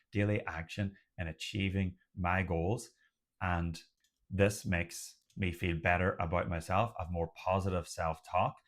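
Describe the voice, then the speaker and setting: A man who stutters at times, giving a slow, deliberate motivational talk as the host of a stuttering support channel. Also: sits low.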